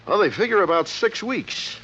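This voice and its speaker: An actor playing a folksy, chummy insurance office manager in a 1950s radio drama, chatty and a bit hesitant. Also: male voice, high voice, animated, moderate pace, sharp tone. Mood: grave, businesslike. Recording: dull, faintly noisy vintage radio recording.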